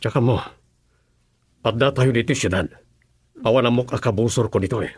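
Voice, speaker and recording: An actor playing a calm escort in a radio drama who speaks in a steady, reassuring way. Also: male voice, medium pitch, a little fast, husky, no room sound.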